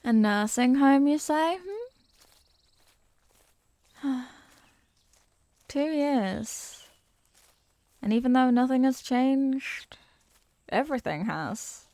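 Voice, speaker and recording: Woman acting a sweet, wistful childhood friend, higher-pitched, close-up. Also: no room sound. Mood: wistful, musing. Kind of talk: scripted ASMR roleplay spoken to the listener.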